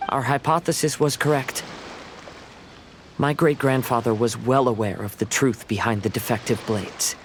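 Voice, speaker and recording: An actor playing a gentle, thoughtful young samurai in a video game, speaking in a calm, measured, formal way. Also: male voice, mid-range voice, a little hiss or hum, lightly acted. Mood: calm, earnest. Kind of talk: video game voice acting, storytelling. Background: faint game music.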